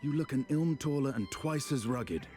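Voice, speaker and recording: A man's voice: medium pitch, lively intonation, normal speed, mellow, soft background music, natural voice. A man playing a blunt, teasing, battle-hardened knight in a fantasy game. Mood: amused, teasing.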